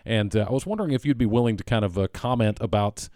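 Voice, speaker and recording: A man hosting a public radio interview, asking questions in an easygoing way with filler pauses. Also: fairly low pitch, mellow, no echo, studio quality.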